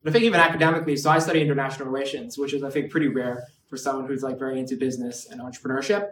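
A man's voice: medium pitch, fairly even intonation, very fast, fairly bright tone, recorded from a distance, a little hiss or hum. A man in his twenties from Hong Kong, a guest chatting casually on a podcast with lots of "like" and "right". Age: 20s. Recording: roomy echo, away from the mic.

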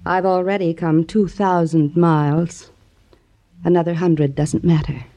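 A woman's voice: fairly low pitch, fairly even intonation, a little slow, warm tone. A proud and determined widow of an army officer in a 1950s radio drama. She speaks formally and imperiously, with a bitter, cutting edge. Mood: cool insistence.

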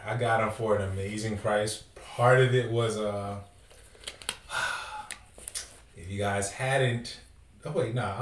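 A man's voice: medium pitch, roomy sound, distant mic, slight background noise. A man co-hosting a casual podcast, laid-back and teasing, laughing often. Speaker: podcast co-host.

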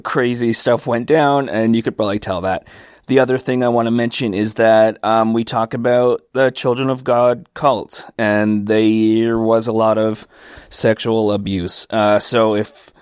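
A man's voice: on the low side, clear, resonant tone, no echo, studio quality. A man hosting a podcast, giving a short hesitant note to listeners before the episode, with many ums and uhs. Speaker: podcast host.

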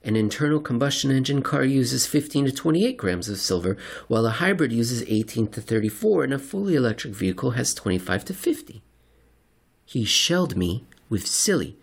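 A man reading a scripted narration in a dramatic, deliberate and wry manner. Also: mid-range voice, big pitch swings, vocal fry, husky. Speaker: narrator and reader. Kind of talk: theatrical scripted narration of market report and story.

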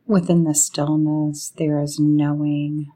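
Woman, meditation guide, mellow soft-edged tone, even low-key melody, soothing, long pauses. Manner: soothing, unhurried, with long pauses between short phrases.

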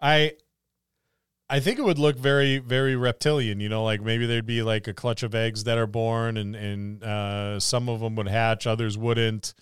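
A man's voice: medium pitch, little pitch movement, normal speed, clean tone, no echo, studio quality. A man co-hosting a podcast, chatting casually about tabletop gaming in a rambling, musing way. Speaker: podcast co-host.

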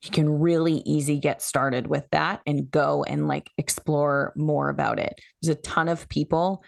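A woman's voice: deep voice, little pitch movement, moderate pace, vocal fry, dry recording, studio quality. A woman who works as a human design coach, explaining her methods as a podcast guest in a coaching tone. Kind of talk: podcast interview.

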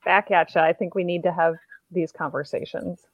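A woman's voice: fairly low pitch, steady tone, moderate pace, no echo, dull audio. A woman in her forties, a podcast guest, talking reflectively in a casual interview chat.

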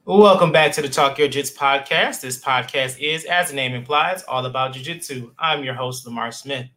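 A man's voice: medium pitch, normal speed, sharp tone. A man hosting a podcast, upbeat and friendly, calling his guest man and brother. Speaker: podcast host. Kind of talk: casual interview hosting.

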